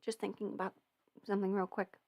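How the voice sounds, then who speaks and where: A young woman hosts a relaxed livestream, answering questions in a chatty, wandering way full of fillers and self-corrections. Her voice sits at an ordinary middle pitch for a woman. It is recorded close and dry, with no room sound around it. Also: quick pace, no echo. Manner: chatty and meandering, many fillers and restarts. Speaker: audio performer and channel host. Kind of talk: casual livestream Q&A.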